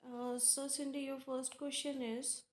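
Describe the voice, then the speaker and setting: High, slow-speaking woman with an Indian accent. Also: level tone, a little hiss or hum. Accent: Indian English. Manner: unhurried, many fillers, talks to chat viewers by name. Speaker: tarot reader hosting a live stream. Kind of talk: casual live-stream chat with viewers. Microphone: earphones with mic. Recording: echoey room.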